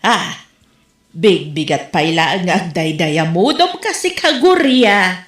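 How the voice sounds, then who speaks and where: A woman's voice: on the low side, lively intonation, slow, soft background music. A woman with an Ilocano Filipino accent playing a chiding, protective mother in a comedy audio drama. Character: chiding mother who defends a mocked vlogger. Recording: roomy, echoing home recording.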